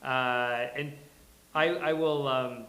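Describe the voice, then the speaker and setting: A man's voice: mid-range voice, steady tone, takes it slowly, a bit bright. A man hosting a casual panel chat, rambling and jokey as he goes. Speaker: host. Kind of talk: casual panel chat before a live table read. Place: live event room with a small audience. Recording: echoey room.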